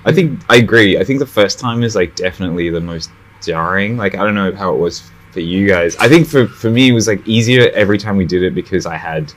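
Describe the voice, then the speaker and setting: A man in his thirties, born in India and raised in Australia, talking as a guest in a casual chat at a restaurant, animated and full of fillers. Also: low voice, fast talker. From born in India, grew up in Australia. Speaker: guest artist. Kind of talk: casual conversation. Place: restaurant, indoors.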